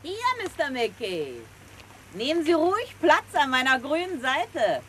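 An actress playing a brazen, street-wise blackmailing widow in a German crime radio play, mocking and slangy. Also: female voice, high voice, big pitch swings, unhurried, fairly bright tone, slight background noise, full character voice. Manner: slangy, mocking, self-assured.

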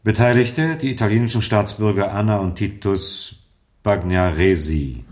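An actor playing a formal police chief leading a briefing in a German radio crime drama, clipped and official. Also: male voice, lowish voice, moderate pace, a little mellow, slight background noise, close to an everyday voice.

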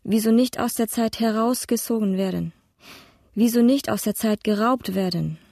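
An actress playing an insistent, questioning voice in a poetic radio play. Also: female voice, on the high side, moderate pace, vocal fry, warm tone, no echo, clean studio sound. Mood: musing, insistent. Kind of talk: poetic radio drama recitation.

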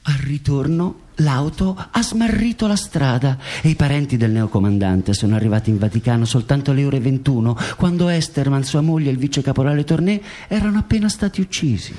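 A man playing a formal, measured narrator who reads out a case in a scripted documentary radio drama. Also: medium pitch, mellow, close to an everyday voice. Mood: grave, factual. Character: formal male court reader and case narrator.

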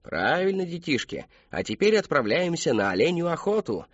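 Man doing a high, shouting manic party clown. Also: very animated, normal speed, dry recording, dull audio. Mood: manic cheer. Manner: shouting showman, exaggerated jollity. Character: fat children's party clown, manic cheer hiding menace.

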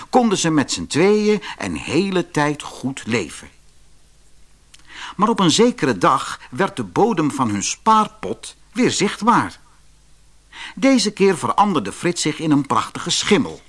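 A man with a Dutch accent from the Netherlands reading a fairy tale aloud in expressive, scripted narration, with a slightly husky voice. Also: a little high. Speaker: audiobook narrator.

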